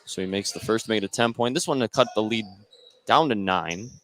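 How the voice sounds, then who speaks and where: A man's voice: on the low side, fairly quick, a bit bright, a little hiss or hum. An American man doing play-by-play radio commentary of a basketball game, following the action in short running phrases. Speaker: play-by-play sports announcer. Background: basketball game in a gym.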